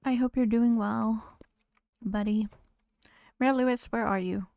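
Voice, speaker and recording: Woman game streamer, mid pitch, gravelly and dry close-mic sound, voice going hoarse. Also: steady tone, unhurried, darkish tone, no echo. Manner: chatty, greets viewers, talks to game characters. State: losing her voice. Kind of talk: casual live-stream chat while playing.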